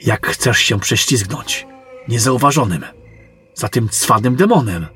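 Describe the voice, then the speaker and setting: A man playing a general's cheeky, eager, hungry sidekick in a fantasy audio drama, with a raspy voice. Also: medium pitch, lively intonation, unhurried, a bit bright, faint music behind. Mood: wary.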